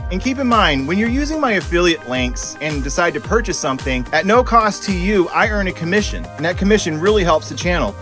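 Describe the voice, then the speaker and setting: A man hosting a product review video, talking to viewers in short, simple explanatory phrases. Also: fairly high pitch. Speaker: host of a gear review channel.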